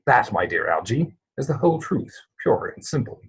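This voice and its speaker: A man playing a proper, earnest young English gentleman, evasive and easily exasperated, speaking formally in a dramatic reading of a Victorian stage comedy. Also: medium pitch, very animated, moderate pace, husky, exaggerated voice, poor audio. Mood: earnest explaining. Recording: home recording over video call.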